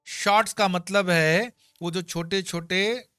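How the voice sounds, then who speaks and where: A man's voice: high-pitched, steady tone, takes it slowly, sharp tone, clear, resonant tone, no echo, clean studio sound. A man giving an emphatic spiritual discourse on a scripture, explaining verses with homely examples and scolding asides. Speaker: spiritual teacher. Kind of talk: Gita discourse.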